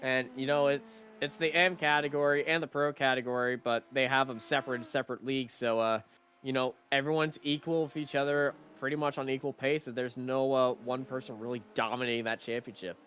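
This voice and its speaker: A raspy-voiced man chats loosely as a racing stream commentator. He rambles and restarts his sentences, with a faint hiss of noise behind him. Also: medium pitch, flat delivery, brisk, fairly bright tone. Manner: rambling, many fillers and restarts. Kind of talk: casual chat on a live racing stream.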